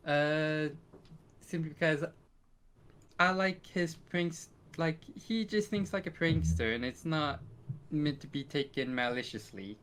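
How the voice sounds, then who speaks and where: Higher-voiced man in casual chat. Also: fairly bright tone, clear, resonant tone. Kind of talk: casual chat about favourites.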